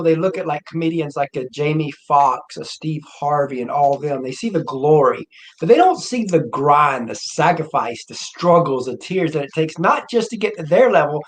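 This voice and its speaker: A man's voice: fairly high pitch, normal speed. A man hosting an interview show, asking long, earnest questions in a casual tone. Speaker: show host.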